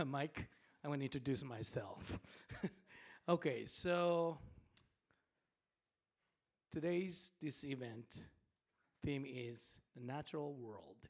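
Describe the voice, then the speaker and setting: A middle-aged man from Japan, a native Japanese speaker, hosting a storytelling night on a theater stage in a halting, chatty way with frequent laughs and fillers. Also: mid-range voice, animated. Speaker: host and storyteller. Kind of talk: casual live stage hosting.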